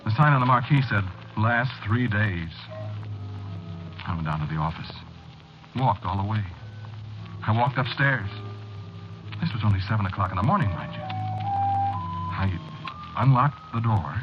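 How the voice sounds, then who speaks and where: An actor playing an anxious, haunted office man, confiding haltingly in an old-time radio horror drama. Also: on the low side. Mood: agitated, unnerved. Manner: halting, brooding, confiding in the listener. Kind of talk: old-time radio horror acting, first-person narration. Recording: dull old radio drama recording, music behind.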